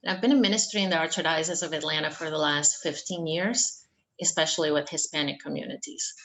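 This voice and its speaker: A woman from Venezuela with a very low voice speaks on an online panel. She is reflective and measured, taking her time over each point. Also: steady tone, fairly bright tone, poor audio. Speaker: church formation director. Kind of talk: panel discussion. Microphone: video call.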